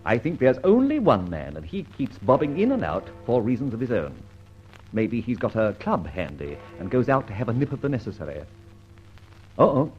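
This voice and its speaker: An actor playing a suave, flippant British gentleman adventurer in an old-time radio detective drama, with a teasing, droll manner. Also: mid-range voice, huge pitch swings, normal speed, faint music behind. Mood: teasing, skeptical. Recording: dull, noisy old radio recording.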